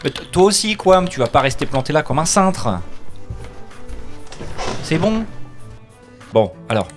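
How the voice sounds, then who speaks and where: A man playing a stressed, sarcastic office administrator with halting, grumbling delivery in an audio comedy drama. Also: a little high, big pitch swings. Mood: harried. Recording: faint music behind the voice.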